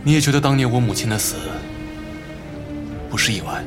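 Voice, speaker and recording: An actor playing a guarded, grieving son in a Chinese audio drama, speaking in a measured, reflective way. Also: male voice, mid-range voice, lively intonation, lightly acted. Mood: somber, reflective. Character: grieving, guarded adult son of a wealthy family. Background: prominent background music.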